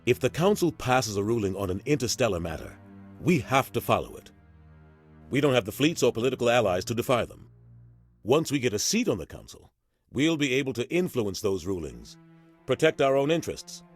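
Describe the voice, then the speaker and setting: An actor playing a seasoned military captain in a video game, gruff and measured as he explains things like a mentor. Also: mid-range voice, big pitch swings. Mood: frustrated, firm. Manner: measured, explanatory, matter-of-fact. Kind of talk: video game dialogue acting. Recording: game music underneath.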